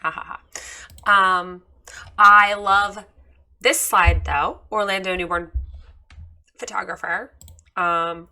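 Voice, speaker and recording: A woman with a slightly hoarse voice after losing it, giving a candid, chatty review of a photographer's website with many fillers. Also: medium pitch, fairly even intonation, takes it slowly, long pauses, sharp tone. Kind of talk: casual screen-share critique.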